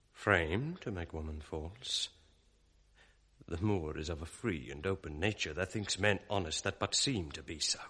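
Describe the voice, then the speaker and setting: A scheming, sardonic villain in a classic radio drama. His voice is bright and husky, sly and mocking in company, and it drops to a hushed, plotting mutter when he is alone. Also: sits low, heavily acted. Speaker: actor. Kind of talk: 1980s radio drama acting.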